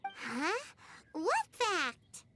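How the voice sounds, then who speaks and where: A woman voicing a tiny, chirpy, childlike fairy companion in a video game, squeaky and bubbly, with music playing behind her. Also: huge pitch swings, extremely slow, exaggerated voice. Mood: puzzled. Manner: exclamatory, cutesy, bubbly.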